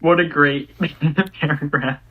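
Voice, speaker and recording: A woman's voice: low voice, fairly even intonation, takes it slowly, muffled recording. A woman co-reading a script and chatting casually about it. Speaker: co-reader. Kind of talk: casual script read-along with commentary.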